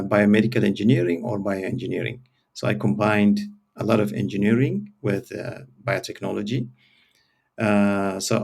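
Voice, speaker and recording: Male academic guest, fairly low and gravelly, measured and hesitant interview delivery. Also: darkish tone. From born in Eritrea, grew up in Sweden. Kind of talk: explaining his career in an interview.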